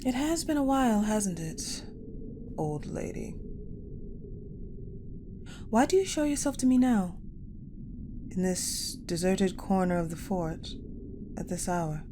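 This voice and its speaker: A woman playing a cold, guarded, possessive war general in a dramatic audio roleplay, speaking in a measured, haughty, pensive way with deliberate pauses. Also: mid-range voice, lively intonation. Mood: brooding, commanding. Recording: clearly noisy, ambient sound bed.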